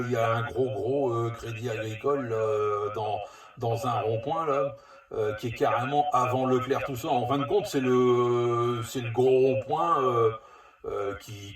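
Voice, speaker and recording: A man chatting casually as a radio operator, talking in a hesitant, rambling way and repeating his words. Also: medium pitch. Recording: echoey, distant, voices behind.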